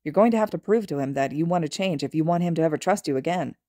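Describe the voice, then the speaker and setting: A woman's voice: low voice, expressive, fast, dark tone, no room sound, studio quality. A woman voicing a blunt, loyal teenage boy hero who scolds his friend earnestly in a read-aloud story. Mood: gentle.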